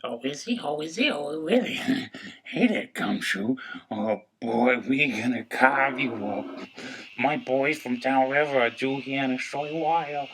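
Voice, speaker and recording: A man's voice: mid-range voice, animated, unhurried, fairly bright tone, slight background noise, poor audio. A man playing a gleeful, folksy river cannibal henchman with a drawl and a taunting, cackling manner, craving moonshine in an audio drama. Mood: gleeful menace.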